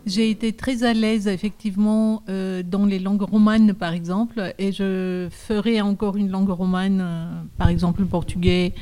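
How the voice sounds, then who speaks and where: A woman's voice: medium pitch, steady tone, moderate pace, few pauses, warm tone, clean tone. Thoughtful woman professor from Greece. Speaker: literature professor and translator, guest. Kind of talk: onstage interview answers.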